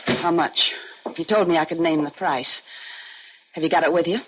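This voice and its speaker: A woman's voice: low voice, fairly even intonation, normal speed, natural voice. An actress playing a scheming, blunt woman blackmailer in an old radio drama. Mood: cool, grasping. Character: scheming woman blackmailer selling negatives for a fortune. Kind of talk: 1940s-50s radio drama acting. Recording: dull, noisy old radio recording.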